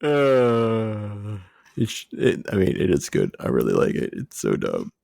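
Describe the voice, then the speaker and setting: A man with a fairly low voice chats as a podcast co-host. He takes his time, and his intonation moves up and down a lot. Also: wildly swooping pitch, a little slow, vocal fry, a little mellow, no echo, clean studio sound. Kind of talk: casual pop culture chat.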